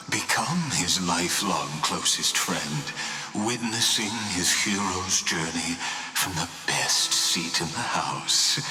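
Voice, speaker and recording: Deep, slow, theatrical mysterious storyteller. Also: male voice, heavily acted. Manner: slow, suave, dramatic, leaning into whispers. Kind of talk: theatrical game narration. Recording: reverberant hall, music behind.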